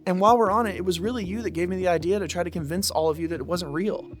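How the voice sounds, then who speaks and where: An actor playing an earnest young man in a conversational audio drama, explaining himself in a measured way. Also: sits high, faint music behind, close to an everyday voice. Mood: earnest, defensive. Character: earnest young man pleading for patience and trust.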